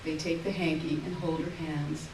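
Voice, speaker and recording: A woman reading literary fiction aloud on stage in a lyrical, measured way, heard from far off in an echoey hall. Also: deep voice, level tone, darkish tone, a little hiss or hum. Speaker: reader. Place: theater stage.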